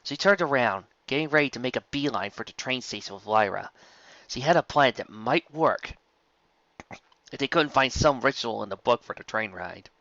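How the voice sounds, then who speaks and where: A man's voice: medium pitch, expressive, normal speed, pushed and bright, dry recording. A man giving a live storybook narration as the reader, on a dry, lo-fi recording. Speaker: narrator and reader of fan fiction.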